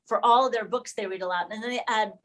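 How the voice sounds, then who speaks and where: A woman's voice: on the high side, quick pace. A woman leading an informal online discussion with fellow educators, thinking aloud and often correcting herself as she goes. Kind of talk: informal presentation to colleagues. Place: online video call.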